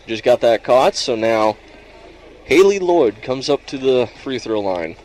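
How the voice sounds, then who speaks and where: A man calling live basketball play-by-play in halting, piecemeal phrases. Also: mid-range voice, expressive. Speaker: play-by-play announcer. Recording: noisy live sports venue broadcast. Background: loud gym ambience.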